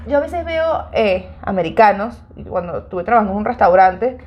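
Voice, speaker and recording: A woman from Venezuela with a Venezuelan accent, chatting casually with a friend in a lively, teasing, joking way. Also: mid-range voice, normal speed, lo-fi sound. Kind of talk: casual chat between friends.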